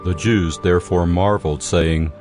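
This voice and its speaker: A man reading scripted narration for a dramatized audio Bible in a measured, solemn way. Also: deep voice, steady tone. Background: music.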